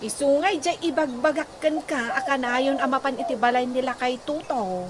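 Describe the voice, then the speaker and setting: A woman's voice: high-pitched, normal speed, lightly acted. An actress playing a curious, chatty village woman in a radio comedy drama. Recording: very noisy radio drama, roosters and birds.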